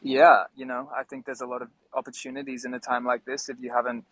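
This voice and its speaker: A man's voice: medium pitch, little pitch movement, quick pace, fairly bright tone, no echo. A man hosting a casual live discussion, talking in a friendly, appreciative way. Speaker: host.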